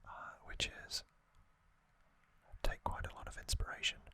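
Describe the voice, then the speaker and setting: A man hosting an ASMR video, whispering unscripted commentary in a hushed, unhurried, halting manner. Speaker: host of an ASMR channel.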